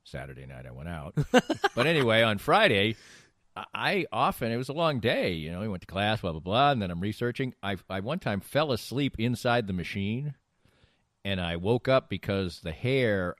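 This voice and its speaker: A middle-aged man with a fairly low voice that swings widely in pitch as he talks. He rambles through stories like a podcast co-host at ease, stressing his points emphatically. The sound is dry and close. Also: sing-song, moderate pace, fairly bright tone, no room sound. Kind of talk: casual banter and storytelling.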